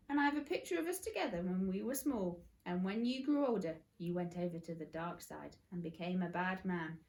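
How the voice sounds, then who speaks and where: A woman's voice: medium pitch, darkish tone, lightly acted, rough recording. A woman voicing an eccentric, theatrical man who plays detective in a children's story, grand and pompous.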